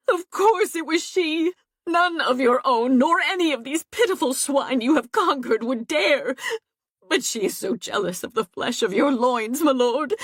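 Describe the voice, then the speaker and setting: A woman voicing a tearful, scheming young mistress, loud, shrill and demanding. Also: no echo, clean studio sound.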